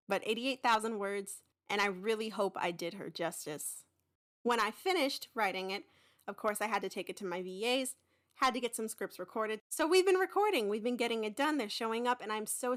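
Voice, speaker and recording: A young woman speaks as a podcast host with a slightly high voice. She is chatty and excited, drifting into informal rambles as she addresses her listeners. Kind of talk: casual, chatty podcast announcement.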